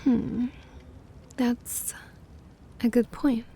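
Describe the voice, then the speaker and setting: Woman playing a mellow, creaky, prim vampire mistress. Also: fairly high pitch, little pitch movement, drawn-out words, slight background noise, heavily acted. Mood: embarrassed. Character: naive, prim, haughty female vampire mistress. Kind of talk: ASMR roleplay acting.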